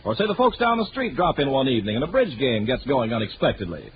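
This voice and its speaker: A man's voice: medium pitch, big pitch swings, fast. An American man reading a scripted 1940s radio advertisement as the announcer. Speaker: commercial announcer. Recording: muffled, very noisy 1940s radio recording.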